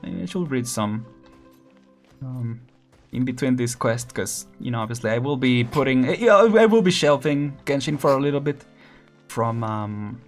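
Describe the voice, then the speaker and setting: A man's voice: medium pitch, moderate pace, faint music behind. Rambling man chatting on a game stream. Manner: rambling, with frequent fillers, restarts and laughs. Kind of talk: casual stream chat.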